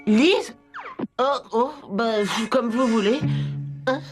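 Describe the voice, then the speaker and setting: A woman's voice: fairly high pitch, slow, music in the background. A woman voicing a reluctant, anxious, good-natured schoolboy in a French cartoon dub, hesitant and whiny. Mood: smug, wary.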